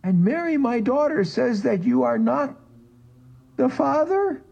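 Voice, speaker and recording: A man voicing an old father who whines and pleads in a high, strained voice. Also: expressive, unhurried, creaky, a little mellow, breathy edge, lo-fi sound, exaggerated voice. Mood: hesitant. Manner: whining, pleading, rising to a shrill cry. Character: old whining father, fearful then overjoyed.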